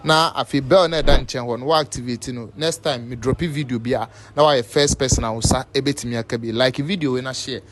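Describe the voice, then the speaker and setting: A man's voice: mid-range voice, steady tone, brisk, few pauses, a bit bright. A man with a Ghanaian accent presenting informal news commentary, switching between a local language and English. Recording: faint background noise.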